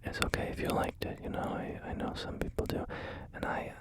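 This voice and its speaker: A man whispering through a relaxed ASMR gaming video, rambling and joking about himself with many fillers. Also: a little fast. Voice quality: soft unvoiced whisper. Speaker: ASMR creator.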